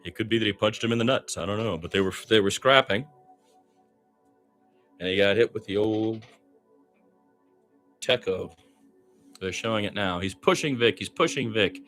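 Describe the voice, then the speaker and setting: Guy doing radio hoops commentary, lowish voice, opinionated and exclamatory, bit of music under him. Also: normal speed, long pauses, a bit bright. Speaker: basketball play-by-play announcer. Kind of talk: live radio sports play-by-play with blunt opinion.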